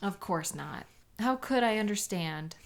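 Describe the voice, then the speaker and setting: A woman's voice: medium pitch, animated, measured pace. A woman playing an embittered, contemptuous police sketch artist with a sarcastic, scornful manner in a dramatic audio roleplay. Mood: cold, bitter.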